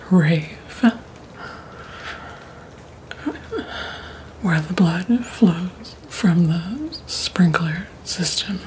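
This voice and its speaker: A young woman playing a polite, poised vampire receptionist at a blood bank in a soft, breathy, deliberate ASMR roleplay. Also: fairly low pitch, a little hiss or hum, rough recording. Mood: hushed, hesitant. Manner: slow, deliberate, hushed, formal.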